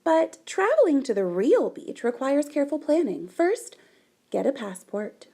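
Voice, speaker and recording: Female storybook reader, high and very lively, unhurried, expressive delivery for young listeners. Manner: animated, deliberate, dramatic emphasis. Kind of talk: expressive children's picture book read-aloud.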